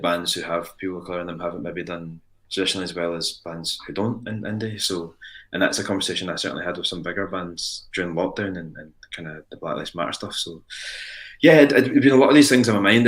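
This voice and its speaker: A man's voice: low voice, quick pace. A man with a Scottish accent, a musician talking casually in an interview, rambling with frequent restarts and fillers. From Scotland. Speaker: band frontman guest.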